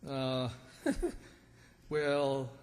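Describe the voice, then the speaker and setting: A man's voice: medium pitch, level tone, extremely slow. A man playing a sheepish, hesitant country man from Arkansas in a stage recreation of an old-time radio comedy. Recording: echoey hall, far from mic.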